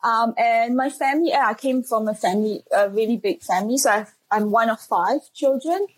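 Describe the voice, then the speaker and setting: A breathy, slightly husky woman in her thirties from Singapore answers interview questions. She talks hesitantly, with many fillers and restarts, and comes across as modest. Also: on the high side, fairly quick, low-quality recording. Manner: modest, laughs shyly. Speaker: speech pathologist and clinic owner.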